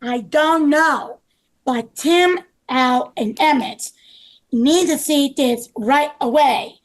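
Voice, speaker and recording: A woman's voice: high voice, slow. A woman playing an earnest, encouraging male builder in charge, in a table read of a children's story. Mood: concerned.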